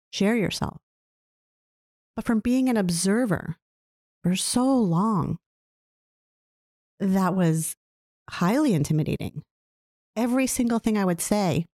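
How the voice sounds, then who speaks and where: Woman, earnest reflective talk, mellow low voice, dry close sound. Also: no echo. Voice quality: self-described low voice. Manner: earnest, musing, asks listeners questions.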